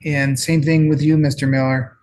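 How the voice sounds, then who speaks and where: A man's voice: on the high side, little pitch movement, moderate pace, a little mellow. Plainspoken male judge, faint room hiss. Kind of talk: courtroom ruling.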